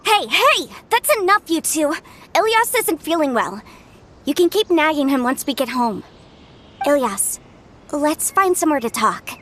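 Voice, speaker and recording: An actress playing a caring young older sister, brisk and protective. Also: female voice, high voice, a little hiss or hum. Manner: brisk, warm-hearted and protective.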